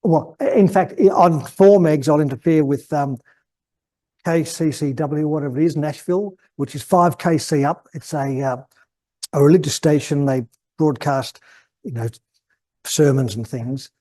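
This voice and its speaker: An Australian man, probably over fifty, giving an informal technical talk at a club meeting, halting and rambling as he repeats words mid-thought. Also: a little high, dark tone. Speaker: guest speaker at an amateur radio club meeting.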